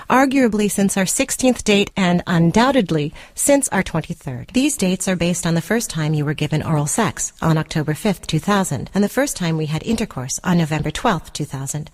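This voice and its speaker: A controlling, jilted girlfriend in a radio short story. Her lowish voice is officious and precise, coldly formal and condescending. The sound is close and dry, as in a studio. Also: darkish tone, no echo.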